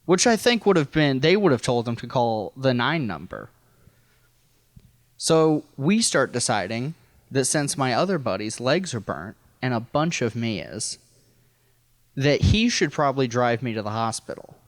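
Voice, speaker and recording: A man's voice: medium pitch, very animated, a little slow, no room sound. An American man from rural Missouri telling a personal story casually as a podcast guest, in a wry, self-deprecating, profane way. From rural Missouri, United States.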